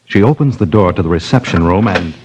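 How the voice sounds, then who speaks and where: An actor playing a solemn narrator of a 1950s mystery drama, reading a scripted scene in suspenseful style. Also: male voice, sits low, animated, fairly quick, background noise. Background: music.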